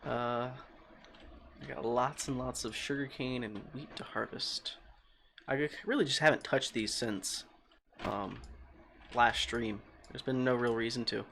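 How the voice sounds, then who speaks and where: A man with a calm voice streaming a video game, rambling as he thinks aloud and answers his chat. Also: medium pitch, measured pace, vocal fry, slight background noise. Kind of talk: casual livestream chat while playing.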